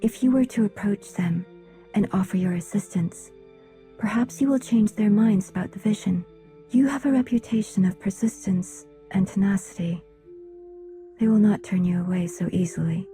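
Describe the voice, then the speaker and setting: An actress playing a solemn, reserved alien woman in a video game, speaking in a measured, formal way. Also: mid-range voice, mellow, soft background music, lightly acted. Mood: grave, formal. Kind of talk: video game cutscene dialogue.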